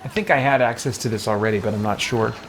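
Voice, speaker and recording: A man hosting a game stream, casually commenting on play and answering chat in a musing, halting way as he thinks aloud. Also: lowish voice, slight background noise. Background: music.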